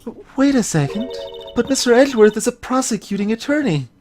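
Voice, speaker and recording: A woman puts on a perky teenage girl in a game, pitched up and very animated. She sounds innocent and wide-eyed, forever asking curious questions. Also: fairly quick, soft background music, natural voice. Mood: puzzled, amazed. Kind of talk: voiced visual novel game dialogue.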